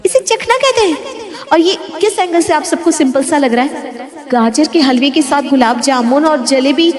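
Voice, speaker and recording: A woman's voice: high-pitched, animated, moderate pace. A woman playing a health-conscious, insistent young daughter-in-law in a family story drama. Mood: incredulous, exasperated. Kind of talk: hammy family story dialogue. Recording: reverberant, hall-like echo.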